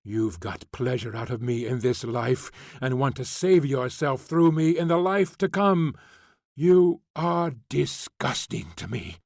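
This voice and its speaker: A man's voice: sing-song, slow, darkish tone, no room sound, clean studio sound. A male reader voicing a bitter young woman convict who is drunk, furious and mocking. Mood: scornful. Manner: scornful, mocking, shrill.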